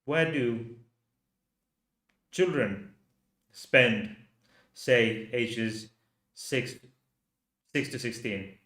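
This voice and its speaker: A man from Finland with a Finnish accent, a native Finnish speaker, hosting a livestream and talking in slow, halting rhetorical questions to his viewers. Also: mid-range voice, a bit bright, echoey room. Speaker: channel host. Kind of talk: livestream commentary reading chat.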